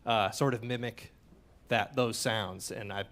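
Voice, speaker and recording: A man's voice: on the low side, fairly even intonation, a little slow, fairly bright tone. Man, trombonist, workshop talk, hesitant, thoughtful, stage mic. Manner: hesitant, many fillers, thoughtful. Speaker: trombonist in the band. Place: community center hall.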